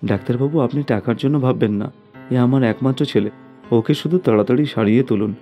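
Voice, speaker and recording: Man playing an anxious, mellow-voiced polite father. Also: medium pitch, a little fast, soft background music, pitched above the performer's own voice, natural voice.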